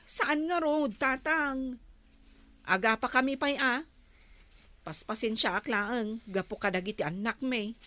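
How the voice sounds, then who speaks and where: A woman's voice: on the high side, measured pace, pauses often, bright, forceful tone. A woman playing a weary, complaining wife and mother with a Filipino accent, plaintive in a radio drama.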